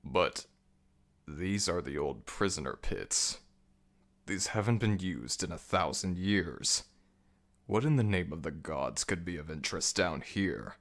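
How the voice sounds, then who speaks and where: Man voicing a slow, very deep ancient jackal god. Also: animated, a bit bright, heavily acted. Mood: dry, irritated. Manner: world-weary, dry, imperious. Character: ancient male jackal god of embalming, weary, grumbling. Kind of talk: scripted ASMR audio roleplay.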